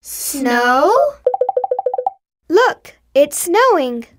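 Little eager cartoon kid lady doing it, high n lively, simple slow lines. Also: faint music behind, heavily acted. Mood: childlike. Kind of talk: slow, simple scripted lesson dialogue.

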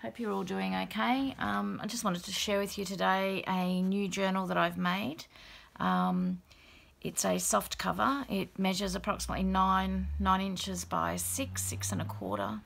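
A middle-aged woman with a croaky, gravelly voice after the flu, casually showing a handmade journal at her craft table, relaxed and chatty with many fillers. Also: medium pitch, measured pace. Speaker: craft channel host. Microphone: overhead camera, hands only.

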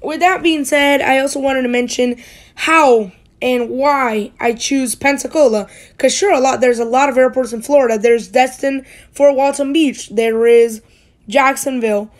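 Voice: high voice, fairly even intonation, normal speed. A school-age youngster from the United States hosting a hobby channel, giving a casual, rambling voice-over that often corrects itself.

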